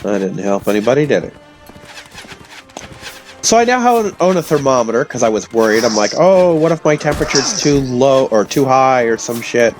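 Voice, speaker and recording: A man with a hoarse voice from illness, telling rambling stories casually to his audience. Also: medium pitch, very animated, moderate pace, soft background music. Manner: meandering, self-interrupting, chatty. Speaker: vlogger.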